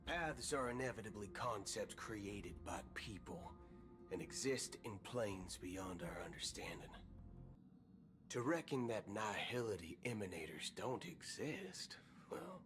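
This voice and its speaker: A brash space gunslinger with a low voice and very animated intonation. He is folksy and theatrical, a video game character heard over clear background music. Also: takes it slowly. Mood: wry, storytelling. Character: cowboy galaxy ranger man, brash, folksy, dramatic gunslinger.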